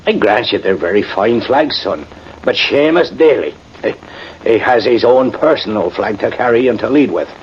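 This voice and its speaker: An actor playing a proud, sentimental, tipsy Irish cavalry sergeant in a radio drama, rambling and halting with emphatic turns. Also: male voice, lowish voice, lively intonation. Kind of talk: 1950s radio drama acting. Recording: muffled, noisy vintage radio recording.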